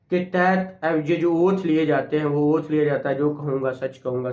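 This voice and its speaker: A man with a Pakistani accent giving a law lecture, mixing in English terms, in an emphatic, didactic way with key phrases repeated. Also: on the high side. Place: echoey indoor room. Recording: echoey room.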